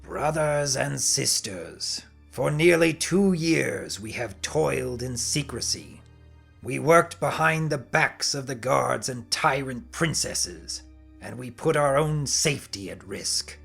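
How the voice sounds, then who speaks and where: A man voicing a smug, sinister pony cult leader who speaks slowly and formally, in a dramatic story reading. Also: medium pitch, expressive, creaky, fairly bright tone, slightly rough, soft background music, full character voice. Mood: preaching, fervent. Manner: slow, smug, formal and menacing.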